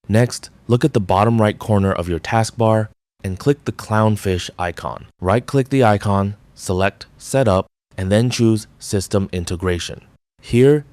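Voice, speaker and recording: A man reading a scripted how-to guide, giving clear step-by-step instructions. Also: fairly low pitch, darkish tone, no room sound. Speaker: tutorial narrator.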